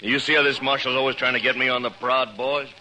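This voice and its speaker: An actor playing a slick, defensive villain with clipped, blustering speech in a noisy 1950s western radio drama. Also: male voice, mid-range voice, fairly even intonation, quick pace, sharp tone. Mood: sneering.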